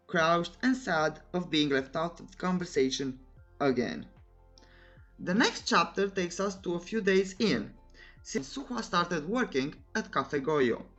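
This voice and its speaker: A narrator delivering a playful, scripted story recap in a cheeky manner, pausing teasingly before punchlines. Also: medium pitch.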